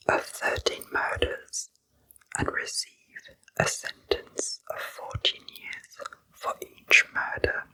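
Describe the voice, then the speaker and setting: A woman whispering a slow true crime story as an ASMR narrator. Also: poor audio. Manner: slow, soft unvoiced whisper throughout.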